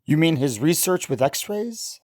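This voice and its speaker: A man's voice: on the high side, big pitch swings, a little slow, a little mellow. Radio play lead: man acting a young male scientist, earnest, romantic, pleading. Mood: interested. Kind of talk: old-time radio drama acting.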